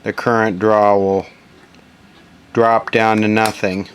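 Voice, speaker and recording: A man's voice: lowish voice, flat delivery, slow, a little hiss or hum. A man giving a casual, matter-of-fact running commentary as he does hands-on repair work, thinking aloud. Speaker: electronics repair video host.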